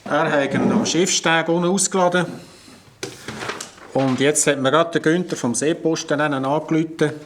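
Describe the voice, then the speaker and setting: A man's voice: fairly high pitch, fairly even intonation, normal speed, lightly acted. A man with a Swiss German accent playing an earnest police officer at a small station in a children's radio play, talking plainly and a little hesitantly. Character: earnest male desk policeman. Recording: noisy recording.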